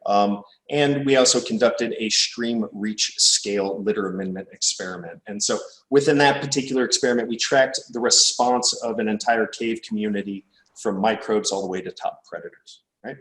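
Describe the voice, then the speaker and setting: A man in his thirties with an American Midwestern accent, giving a relaxed, informal academic seminar talk. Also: lowish voice, normal speed. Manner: relaxed, informal, thinking aloud. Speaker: guest lecturer. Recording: echoey room, distant mic.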